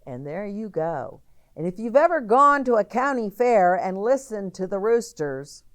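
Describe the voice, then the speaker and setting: A woman hosting a children's story time, reading aloud expressively and explaining things directly to young listeners. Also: medium pitch.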